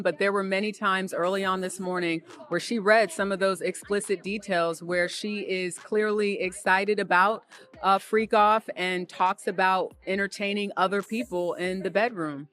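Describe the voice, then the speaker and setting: A woman's voice: mid-range voice, fairly bright tone. A woman giving an explanatory spoken report from a trial as a news reporter.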